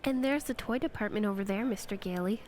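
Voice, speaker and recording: A woman's voice: medium pitch, quick pace, darkish tone, soft background music, close to an everyday voice. A young female performer playing a precocious, skeptical little schoolgirl in a radio play, polite and matter-of-fact. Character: precocious, skeptical, practical little second-grade girl.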